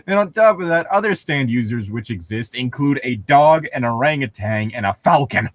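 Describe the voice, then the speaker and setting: A man's voice: mid-range voice, animated, moderate pace, dry recording. A man co-hosting a comedic read-aloud of fan fiction, casually riffing with sarcastic jokes and frequent laughter. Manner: jokey, sarcastic, laughs often.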